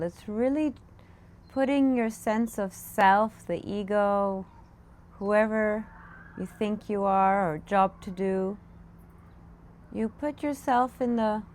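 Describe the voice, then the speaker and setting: A woman meditation teacher leading a guided meditation, giving gentle, unscripted instructions with pauses. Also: mid-range voice. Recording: clear background noise, room ambience. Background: room ambience, children in the background.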